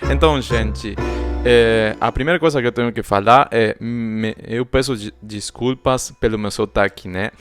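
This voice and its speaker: An Argentine man with an Argentine accent, a native Spanish speaker co-hosting a podcast, speaking halting Portuguese full of fillers as he searches for words. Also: medium pitch, music in the background. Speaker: podcast co-host. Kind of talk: casual chat.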